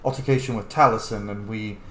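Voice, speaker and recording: A man's voice: lowish voice, fairly even intonation, a little fast. A man giving casual commentary over a game playthrough, chatty and thinking aloud. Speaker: gaming host. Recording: roomy echo, faint game noise.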